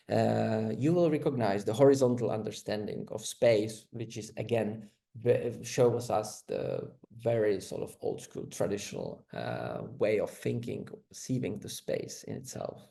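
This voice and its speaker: A man whose first language is Czech, giving an academic talk in slow, hesitant speech full of fillers and restarts. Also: mid-range voice, creaky, mellow. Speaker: lecturer.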